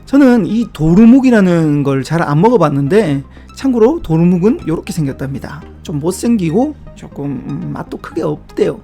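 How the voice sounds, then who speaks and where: Brief: man, middle-aged, warm mellow tone, relaxed chatty explainer, soft music bed underneath. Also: on the high side, normal speed, gravelly, hardly any pauses. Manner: chatty, reflective asides and small self-deprecating jokes. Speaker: book-review video host. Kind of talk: casual explanatory talk about word origins.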